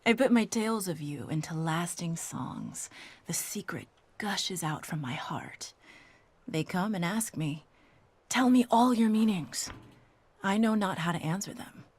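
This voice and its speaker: A woman reading poetry as a wistful, devoted poet, breathy, hushed and deliberate. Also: on the low side, lively intonation, exaggerated voice. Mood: wistful, tender. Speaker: voice actor reading quotations for game audio logs. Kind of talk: intimate scripted poetry reading.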